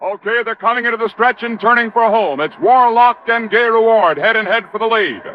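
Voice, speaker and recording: A man delivering an excited 1950s radio advertisement in a sportscaster manner. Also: very high-pitched, a little fast. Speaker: commercial pitchman. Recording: muffled vintage radio recording.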